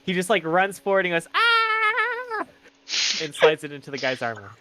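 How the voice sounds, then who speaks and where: Male tabletop player, slightly high, bright voice, animated casual talk. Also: quick pace. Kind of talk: casual tabletop roleplay chat.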